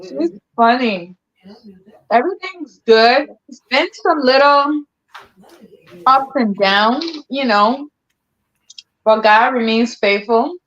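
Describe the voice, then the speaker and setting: Liberian woman host, fairly high voice, easygoing storyteller who laughs a lot, muffled stream audio. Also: takes it slowly, long pauses. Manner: laughs often, relaxed storytelling with colloquial slang. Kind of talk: casual chat livestream.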